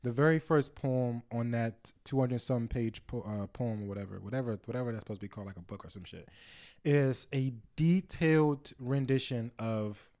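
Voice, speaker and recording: A man's voice: mid-range voice, a little fast, a little mellow. Young male podcast guest, non-native English, mid-range voice, loose slangy delivery. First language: not English. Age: young adult. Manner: loose, slangy, profane, trails off with fillers. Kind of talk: casual chat.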